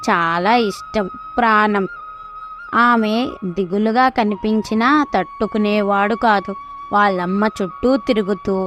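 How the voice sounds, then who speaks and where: A woman narrating a scripted story, with chatty asides to the listener. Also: mid-range voice. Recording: music behind the voice. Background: background music.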